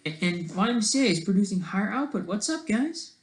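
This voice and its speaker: A man talking casually on a livestream, rambling and halting, often trailing off mid-thought, with a sardonic, self-deprecating streak. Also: high-pitched, expressive.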